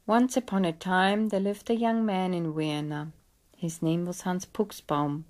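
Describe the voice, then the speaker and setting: A woman reading a scripted legend as a storyteller, in a measured, dramatic storybook style. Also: fairly low pitch, fairly even intonation, a little mellow.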